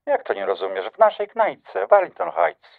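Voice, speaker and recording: A man's voice: sits high, huge pitch swings, normal speed, phone-quality sound, full character voice. An actor playing a smug, flirtatious doctor who teases his ex-lover, in a radio drama over a telephone. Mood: smug, teasing. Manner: teasing, insinuating.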